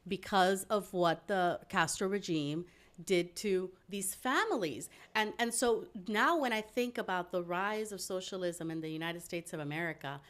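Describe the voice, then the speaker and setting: A woman's voice: fairly low pitch, normal speed, a bit bright. A woman hosting a casual interview, encouraging her guest with short affirmations. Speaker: host.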